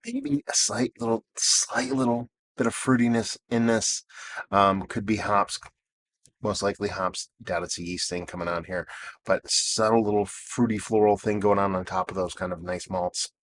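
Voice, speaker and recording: A man in his thirties with a hoarse, worn-out voice hosts a casual beer review. His voice sits somewhat low, and he rambles along with plenty of fillers. He pokes fun at himself as he goes. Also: lively intonation, moderate pace, a bit bright. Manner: rambling and self-deprecating, many fillers. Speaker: beer reviewer and show host. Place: outdoors.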